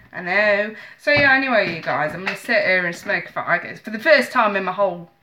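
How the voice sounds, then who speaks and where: A woman's voice: medium pitch, animated, normal speed, noisy background. A woman in her thirties with a stuffy, bunged-up nose, chatting emotionally and apologetically to viewers over a live stream from her small home office. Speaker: tarot reader streaming live. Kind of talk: casual live-stream chat. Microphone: webcam at desk.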